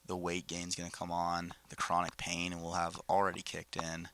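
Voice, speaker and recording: A young man in his twenties with a deep, gravelly voice and a bright, pointed edge to it. He co-hosts a casual podcast chat, joking and animated, with faint noise in the background. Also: normal speed. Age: 20s. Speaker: podcast co-host.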